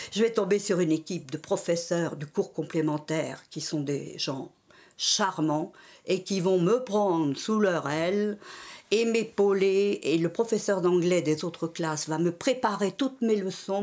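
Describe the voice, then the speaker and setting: A woman's voice: fairly low pitch, a little mellow. Older woman telling her life story. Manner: measured storytelling in the historic present. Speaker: interviewee recounting her life. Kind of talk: oral history interview.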